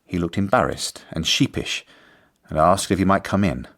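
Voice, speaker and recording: A man's voice: low voice, normal speed, fairly bright tone. A man acting in a radio drama as a principled, wry English schoolmaster, speaking in a formal, articulate way. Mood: wry narration. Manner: formal, articulate, dryly indignant. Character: principled, wry, earnest schoolmaster resigning his post. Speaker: lead actor and narrator.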